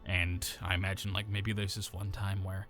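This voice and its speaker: A very low-pitched man from the American South, speaking with a neutral accent and a natural gravel. He explains a voice build in a loose, rambling way, with plenty of fillers and restarts. Faint music sits underneath. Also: flat delivery, moderate pace, fairly bright tone. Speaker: voice actor. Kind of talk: explaining how he builds a character voice.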